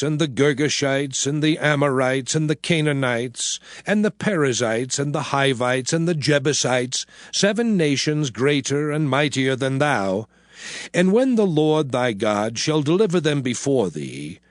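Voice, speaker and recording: A man narrating a dramatized King James scripture reading in a slow, grave, emphatic declamation. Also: fairly high pitch, no echo, studio quality.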